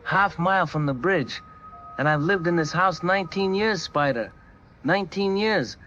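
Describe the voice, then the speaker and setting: A man's voice: sits high, moderate pace, bright, forceful tone, highs cut off, a little hiss or hum. A man playing an indignant, blunt homeowner phoning in a complaint to a radio show in an audio drama. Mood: exasperated. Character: exasperated, talkative male homeowner calling radio show. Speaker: radio caller. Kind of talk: scripted phone-in complaint.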